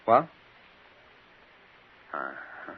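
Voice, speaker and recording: An actor playing an unruffled, evasive crime suspect with a husky voice and coolly polite manner in a 1950s radio drama. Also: male voice, extremely slow. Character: unruffled, evasive male gambling-syndicate suspect. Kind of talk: 1950s radio villain acting. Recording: thin, phone-like 1950s radio recording.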